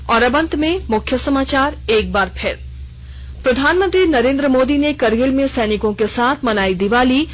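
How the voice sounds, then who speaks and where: A woman newsreader delivers a formal regional bulletin at a measured, even pace. Her voice sits fairly high, and the sound is dull and lo-fi like an old radio broadcast. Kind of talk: formal news bulletin reading.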